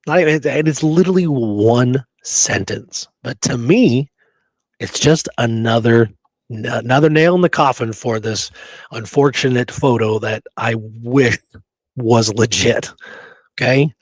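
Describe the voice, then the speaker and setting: Man, talk show co-host, husky edge, informal halting delivery, close dry recording. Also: medium pitch, no echo. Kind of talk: casual discussion.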